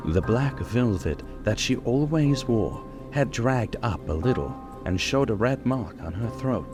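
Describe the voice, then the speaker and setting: A man's voice: mid-range voice, expressive, a little slow, lightly acted. A man playing an earnest young doctor, narrating his journal in a formal, dramatic old-time radio style. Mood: solemn narration. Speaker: narrator and character. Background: prominent music.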